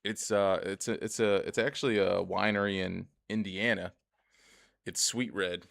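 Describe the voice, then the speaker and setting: A man's voice: low voice, fairly even intonation, moderate pace, creaky, a bit bright, no room sound, studio quality. A young man streaming a game, rambling and swearing as he chats to viewers about himself. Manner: rambling, profane, chatty, often laughs. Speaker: gaming streamer. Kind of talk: casual gameplay commentary.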